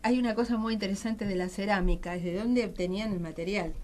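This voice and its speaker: Woman, middle-aged, Argentine accent, radio interview guest, explanatory, halting phrasing, some background noise. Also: mid-range voice, a little mellow. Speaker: guest, writer and journalist.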